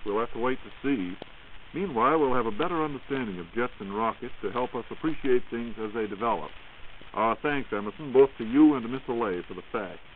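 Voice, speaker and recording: A man's voice: medium pitch, brisk, narrow, tinny audio. An American man hosting a scripted 1940s radio program, presenting and asking questions in a formal, courteous way. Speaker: radio program host and announcer. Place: radio studio. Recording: hissy, band-limited 1940s radio recording.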